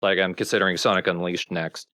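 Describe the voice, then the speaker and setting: A man's voice: low voice, little pitch movement, fast, pushed and bright. A man in casual conversation as a let's play game commentator.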